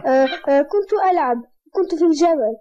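A woman's voice: high voice, lively intonation, fast talker, background noise. A woman voicing an earnest, innocent, tender-hearted little boy in an Arabic cartoon dub. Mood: evasive. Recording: muffled old cartoon audio, music behind.

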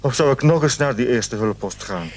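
A man's voice: mid-range voice, expressive, normal speed, lightly acted. An actor playing an anxious, ailing husband in a radio drama, stammering and hesitant as he frets. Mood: worried, helpless. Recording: noisy old radio play recording.

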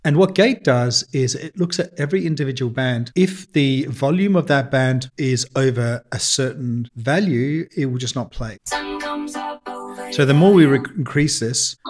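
Man, tutorial voice-over, plain explanatory delivery, mid-pitched, music behind. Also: a little slow. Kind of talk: informal software tutorial walkthrough.

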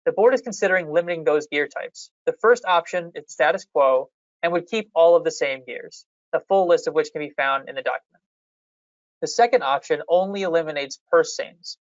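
A methodical presenter at a public hearing, explaining policy options slide by slide in an even, measured way. The voice sits at a middling pitch. The sound is dry and a little muffled, with no room around it. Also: dry recording. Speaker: fishery management staff presenter.

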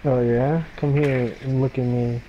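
A young man banters casually during an online game. His mid-range voice is slangy and joking. Game noise and clicking are clearly heard behind him. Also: medium pitch, flat delivery, a little slow, lo-fi sound. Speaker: gamer playing an online shooter.

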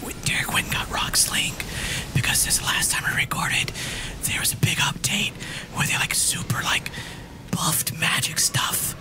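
A middle-aged man co-hosting a gaming show with casual, joking, sweary commentary, often in a mock ASMR style. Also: a little high. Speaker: gaming show co-host and coach. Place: indoor streaming room.

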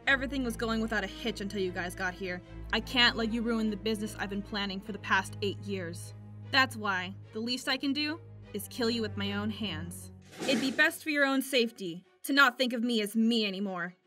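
A woman's voice: fairly high pitch, brisk, pushed and bright, soft background music. A woman playing a scornful young female thief in a manga dub, speaking in a cold, firm, threatening way. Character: hard, scornful young woman thief hiding her care. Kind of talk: dramatic manga dub acting.

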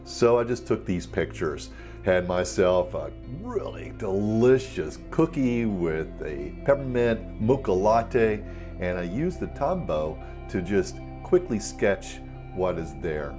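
Brief: low-voiced male art tutor, unhurried delivery, soft guitar music under him. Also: very animated. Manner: easygoing, unhurried, many ums and uhs. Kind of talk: relaxed step-by-step painting demonstration. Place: at a table, painting from overhead camera view.

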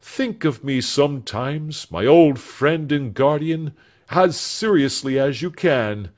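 A man reads a fervent young man from a storybook, raised and lively in pitch, every phrase earnest and heartfelt. He speaks with feeling and a slow, swelling delivery. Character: earnest young man, devoted lover departing.

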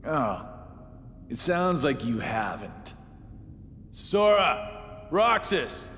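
A sneering middle-aged American game villain, pitched a little high. He drawls with sarcastic swagger, mocking whoever he addresses. His voice rings in a large hall with music clearly behind it. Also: very animated, drawn-out words, fairly bright tone, heavily acted. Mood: taunting. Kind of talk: video game cutscene acting.

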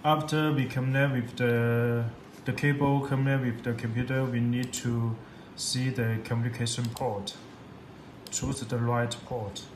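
A man giving an unscripted tutorial in halting, repetitive, simple English. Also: medium pitch, flat delivery. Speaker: product demonstrator. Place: indoor room at a computer desk. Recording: echoey room with background noise.

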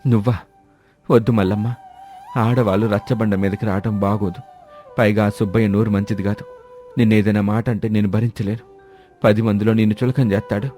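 A man's voice: on the low side, level tone, fairly quick, long pauses, dark tone, faint music behind, lightly acted. A man playing a naive village farmer and husband in a moral story, gloomy and resigned as he laments. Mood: anxious. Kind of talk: dramatized Telugu moral story.

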